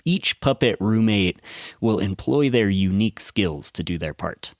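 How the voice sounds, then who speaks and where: A man narrating a scripted documentary podcast in a measured, storytelling way. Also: fairly low pitch, animated, no echo, studio quality.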